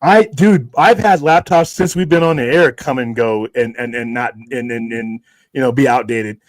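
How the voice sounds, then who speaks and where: A man's voice: medium pitch, moderate pace, dry recording. Man teasing with a dry sound. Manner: teasing, incredulous banter. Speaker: co-host. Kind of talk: casual live stream chat.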